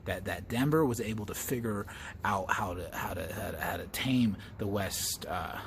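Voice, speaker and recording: A low-voiced American man shares personal reflections in a storyteller's style. He feels his way through sentences, repeating small words and pausing to search. Some background noise sits under his voice. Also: creaky. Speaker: comedian and storyteller.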